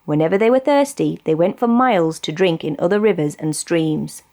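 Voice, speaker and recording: A woman with a British accent narrating a children's storybook expressively, with deliberate phrasing. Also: on the low side, lively intonation, mellow. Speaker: storybook reader.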